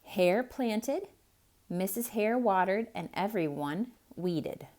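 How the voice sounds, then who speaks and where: A woman reading a picture book aloud to children in an expressive style, pausing to ask the listener questions. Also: mid-range voice. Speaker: children's storyteller. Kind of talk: theatrical read-aloud for kids.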